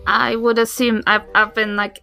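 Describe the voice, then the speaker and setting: A woman's voice: on the high side, level tone, normal speed, gravelly, bright, forceful tone, faint music behind. A woman with a New Zealand accent talking casually as she improvises her part as a tabletop game player.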